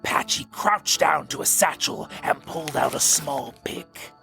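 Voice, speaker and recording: A man narrating a scripted story in a suspenseful, theatrical way, with a gravelly, breathy voice. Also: on the high side, lively intonation, measured pace, pushed and bright, faint music behind.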